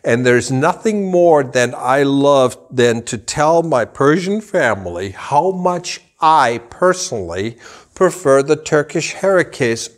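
A man in his forties who grew up in Germany talks to the camera from a rug showroom. He speaks slowly and deliberately at an ordinary pitch, gesturing as he explains. The camera sits a few meters away. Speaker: rug dealer and channel host. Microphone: camera at a few meters, full body framing.

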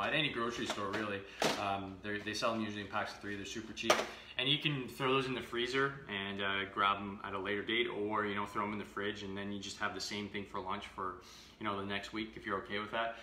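A man's voice: lowish voice, pushed and bright, slight background noise. A man in his thirties casually demonstrating meal prep in his home kitchen, chatty and upbeat with lots of fillers, picked up by a camera a few feet away. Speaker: fitness vlogger. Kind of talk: casual live-stream demo. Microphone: camera mic from a few feet away. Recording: roomy kitchen echo.

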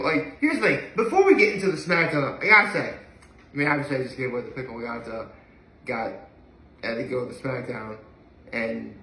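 A young man talks casually to a camera a few feet away. His voice is bright and forceful, pitched a little higher than most men's. He rambles with enthusiasm, and the room adds a clear echo. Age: young adult. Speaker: vlogger. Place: living room, indoors. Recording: echoey room, mic at a distance.

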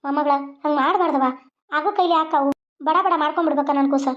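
Woman playing an old grandmother, North Karnataka accent, rustic, scolding, very high pitch, fast talk. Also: fairly even intonation, a bit bright, lo-fi sound. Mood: boastful. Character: blunt, boastful old village grandmother.

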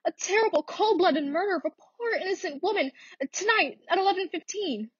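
Woman acting anxious bossy wife in an old radio thriller, really high voice, kinda dull dry recording. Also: lively intonation, a little fast, no echo. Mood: anxious, urgent. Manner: insistent, indignant, easily exasperated. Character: nervous, demanding invalid married woman, imperious and anxious.

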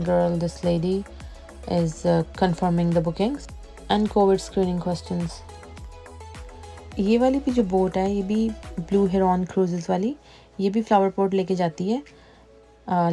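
A woman vlogger calmly narrating a boat tour, explaining the sights as she goes. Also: lowish voice, creaky. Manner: calm explanatory voice-over, mixing in English phrases. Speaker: travel vlogger. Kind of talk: travel vlog narration. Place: outdoors on a tour boat on a lake.

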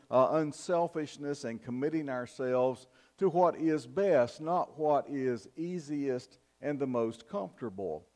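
An older man preaching a sermon in a church, in a measured, earnest style with emphatic pauses. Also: fairly high pitch, darkish tone. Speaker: pastor. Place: church sanctuary, at the pulpit. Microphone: fixed camera from the back of the church.

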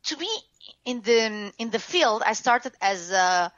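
A woman's voice: a little high, animated, measured pace, fairly bright tone, dry recording, muffled recording. A woman with a Lebanese accent, a former TV host, frankly telling her life story in an interview, full of fillers and restarts. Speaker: guest, author and former TV host.

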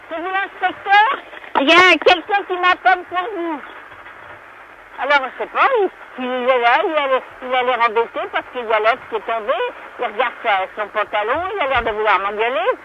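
A woman's voice: high voice, normal speed, pushed and bright. A woman in a casual phone conversation, hesitant and puzzled, over a noisy, dull telephone line. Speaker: prank call victim.

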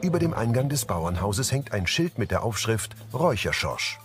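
A man with a fairly low voice delivers scripted scene narration. His pitch moves in lively fashion, and music plays clearly behind him. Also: normal speed. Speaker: audio description narrator.

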